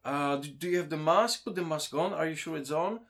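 Man, thirties, casual interview guest, halting and thoughtful. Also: fairly high pitch, fairly bright tone. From Poland. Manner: hesitant, many ums and restarts.

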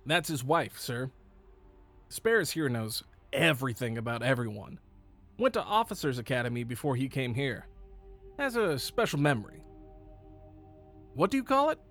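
A man's voice: medium pitch, very animated, moderate pace, long pauses, fairly bright tone, soft background music. A man playing a friendly lieutenant among soldiers in an audio drama. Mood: affable.

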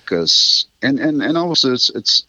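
A man's voice: mid-range voice, very animated, measured pace, bright, forceful tone, no room sound, muffled recording. A middle-aged man chatting reflectively as an interview guest, rambling thoughtfully and correcting himself as he goes. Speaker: interview guest, writer of a radio drama. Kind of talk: casual reflective interview chat.

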